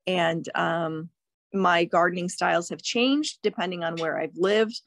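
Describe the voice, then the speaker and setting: A woman's voice: lowish voice, a little slow, vocal fry. A middle-aged woman from Croatia, a guest in a casual interview, cheerful and laughing often as she talks. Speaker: guest, plant seller.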